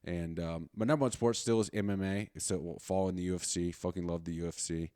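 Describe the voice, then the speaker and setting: A man's voice: low-pitched, fairly even intonation, quick pace, warm tone, dry recording, studio quality. A man in his late thirties hosting a solo podcast, chatting in a casual, rambling, slangy way with plenty of swearing. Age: late 30s. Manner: profane, slangy, informal. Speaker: podcast host.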